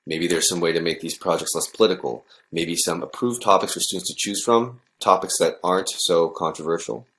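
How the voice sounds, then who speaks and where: An actor playing a worried, cautious high school principal in an audio drama, speaking in a measured, conciliatory way. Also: male voice, fairly even intonation, fairly bright tone, a little hiss or hum. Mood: cautious, concerned.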